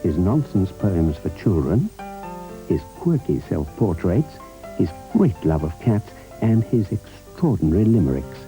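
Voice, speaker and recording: A man's voice: low voice, poor audio. A British man reading scripted commercial narration as an advert voice-over. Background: soft music.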